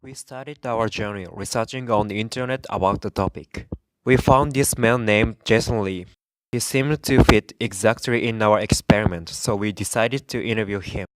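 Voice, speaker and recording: A man's voice: on the low side, moderate pace, no echo, poor audio. A male student from Japan giving read-aloud narration for a class project. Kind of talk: scripted narration.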